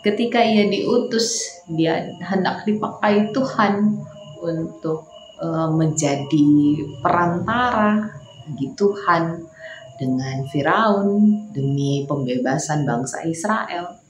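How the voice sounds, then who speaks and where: A woman pastor with a Makassar accent leads a morning devotional in a low, unhurried voice. She moves from quiet prayer to emphatic preaching. The recording sits in an echoey room with a faint hiss behind her. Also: highs cut off, faint music behind.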